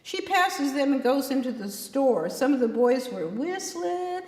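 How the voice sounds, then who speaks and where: A woman author narrating her novel in a folksy, expressive storytelling style. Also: high voice, measured pace. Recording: echoey room with long reverb.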